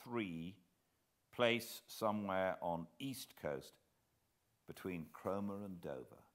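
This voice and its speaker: A middle-aged British man giving a measured, expressive dramatic reading of a story as an audiobook narrator. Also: low-pitched. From Britain. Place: living room armchair beside a fireplace.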